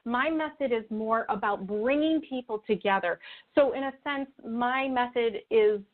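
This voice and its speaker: Woman, thin phone-like audio, earnest interview guest, conversational, reflective, halting delivery, rephrases as she goes. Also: on the high side. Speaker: interview guest, spiritual video creator. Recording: tinny phone-like call audio.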